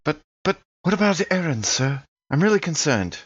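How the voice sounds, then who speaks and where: A man's voice: fairly high pitch, sing-song, fairly quick, fairly bright tone, slightly rough, heavily acted. Worried, earnest lad for a sci-fi tabletop game, played by a man: swooping intonation, slightly dull recording. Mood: worried, insistent. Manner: anxious, deferential. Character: earnest, worried young boy on a space station.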